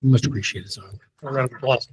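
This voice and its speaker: A man's voice: mid-range voice, steady tone, quick pace, breathy edge. A man chairing a school board meeting, calling on members by first name in an informal way. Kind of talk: casual meeting chat.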